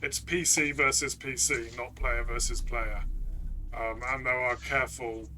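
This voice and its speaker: A man's voice: medium pitch, fairly even intonation, a little slow, sharp tone. A man giving a player's view in a conversational tabletop game review, reflective and often restarting his phrases.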